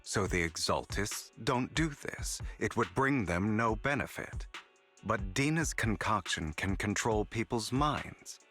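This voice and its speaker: Suave scheming trickster for an adventure game, polished and theatrical, bright forceful tone, very expressive pitch. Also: male voice, faint music behind. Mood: grave, explanatory. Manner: polished, deliberate, theatrical delivery. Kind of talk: video game voice acting.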